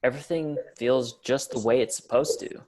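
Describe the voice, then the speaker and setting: A man's voice: medium pitch, steady tone, normal speed, darkish tone, close to an everyday voice. A man reading a laid-back, slightly drunk teenage boy who talks to the camera. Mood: relaxed. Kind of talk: table read.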